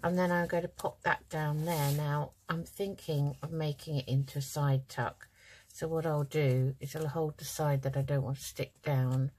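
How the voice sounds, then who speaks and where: Low-pitched, slightly croaky woman crafter. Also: fairly even intonation, moderate pace, darkish tone. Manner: chatty, thinking aloud. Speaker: craft channel host. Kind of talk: casual craft tutorial, talking while working. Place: indoors at a craft table.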